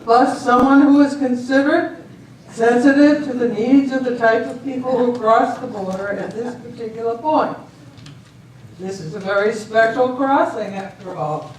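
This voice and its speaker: A woman in an amateur stage reading, playing a composed, decisive government official with a measured, formal delivery. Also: fairly high pitch, lightly acted. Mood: explanatory, assured. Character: composed, decisive female official judging a border dispute. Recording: echoey hall, distant mic, faint noise.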